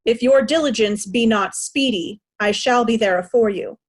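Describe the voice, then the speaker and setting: Aging monarch, proud and hurt, higher-voiced, classical stage verse played by a woman. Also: normal speed, lightly acted. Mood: commanding. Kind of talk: Shakespearean reader's theatre acting.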